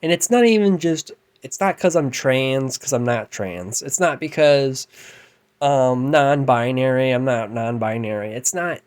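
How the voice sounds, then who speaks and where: A man's voice: medium pitch, measured pace, smooth. Frustrated man in his thirties, light voice. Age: 30s. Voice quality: light, androgynous voice. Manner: frustrated, self-deprecating, trailing off and restarting; keeps his voice down, with loud bursts. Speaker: musician and vlogger. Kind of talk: rambling late-night rant to camera.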